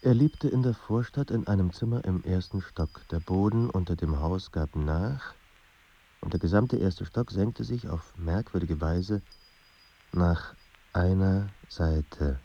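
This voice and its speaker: A man narrating a melancholy literary radio drama in a measured, sombre manner. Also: deep voice, dull audio.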